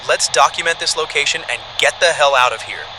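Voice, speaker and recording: A man's voice: fairly high pitch, brisk, noisy background, lightly acted. A man acting as an anxious, wary park ranger in a horror drama, uneasy and urgent. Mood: anxious, reluctant.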